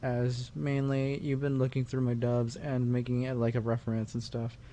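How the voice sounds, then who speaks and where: A man hosting a channel, giving a casual unscripted thank-you in a halting, repetitive, rambling way. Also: medium pitch, monotone, warm tone, slight background noise. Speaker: channel host and dub producer.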